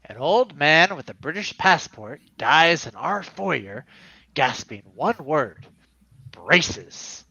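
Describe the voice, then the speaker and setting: Man, high bright voice, slow pace, animated, theatrical amateur read-aloud. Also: gravelly, no echo, highs cut off. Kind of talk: casual comic-book read-aloud.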